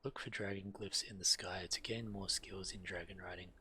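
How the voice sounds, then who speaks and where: Deep-voiced man streaming a game. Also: steady tone, moderate pace, bright, forceful tone, slight background noise. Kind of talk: reading quest text aloud and casual game commentary.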